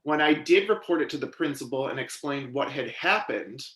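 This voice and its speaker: A man giving steady, measured first-person voice interpretation of a deaf witness's testimony at a legislative hearing. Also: medium pitch, reverberant, rough recording. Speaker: sign language interpreter voicing a deaf witness. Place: hearing room.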